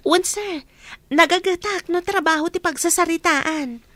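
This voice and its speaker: A woman's voice: very high voice, a little slow, sharp tone, no echo, full character voice. A woman playing a deferential young job seeker. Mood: polite. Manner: deferential, says sir often. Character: polite young woman from the province seeking maid work. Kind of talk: radio drama acting.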